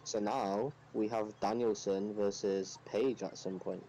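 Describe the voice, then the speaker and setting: A man's voice: lowish voice, fairly even intonation, a little slow, crowd noise. A man chatting casually as a fan on a podcast panel. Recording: dull lo-fi mic, faint noise.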